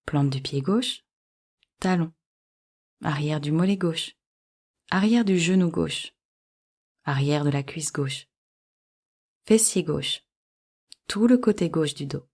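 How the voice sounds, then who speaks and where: A woman guiding a yoga nidra relaxation in calm, measured short instructions. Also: low-pitched, husky. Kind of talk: guided relaxation meditation.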